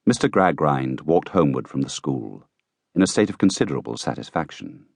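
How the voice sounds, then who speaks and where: A man reading a classic novel as an audiobook narrator, with expressive, theatrical storytelling. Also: very deep voice, brisk, gravelly, husky, no room sound, studio quality. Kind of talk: scripted literary narration.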